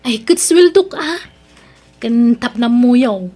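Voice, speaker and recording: High-pitched defiant young daughter. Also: female voice, animated, takes it slowly, dark tone. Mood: pleading, evasive. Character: defiant, scheming, resentful young adult daughter. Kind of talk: radio drama acting in Ilocano.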